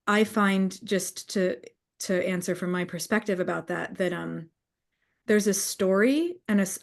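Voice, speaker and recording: A woman's voice: on the low side, creaky. Thoughtful woman feeling her way through words. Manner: thoughtful, halting, searching for words. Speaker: co-creator hosting a live audience call. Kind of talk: casual reflective conversation, answering audience questions. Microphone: online live call.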